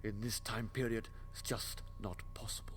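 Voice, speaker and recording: A man playing a wry, excitable, pedantic time-travelling alien adventurer in a fan audio drama. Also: lowish voice, moderate pace, slight background noise, lightly acted. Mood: intrigued, grave.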